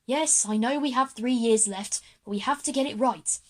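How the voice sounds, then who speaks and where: A woman's voice: fairly high pitch, moderate pace, darkish tone, close to an everyday voice. A kindly, eccentric headmaster, acted by a woman from a children's audio drama. He is a genial grown man with an odd streak. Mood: enthusiastic.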